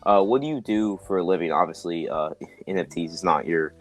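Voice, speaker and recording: A man with a very deep voice hosts a relaxed podcast interview. He is upbeat and encouraging, often repeating his approval, and faint music runs beneath his voice. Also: fairly quick. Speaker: podcast host.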